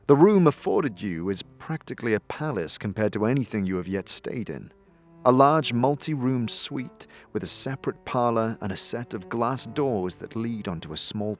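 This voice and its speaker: A man narrating a scripted fantasy audio drama in a dramatic storytelling manner. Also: medium pitch. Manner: dramatic, storytelling in second person. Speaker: narrator, game master.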